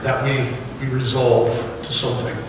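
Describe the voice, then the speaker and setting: A man's voice: mid-range voice, flat delivery, normal speed, noisy background. A male pastor preaching a sermon, earnest and pressing the congregation with repeated questions. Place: large church sanctuary. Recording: echoey hall, mic far off.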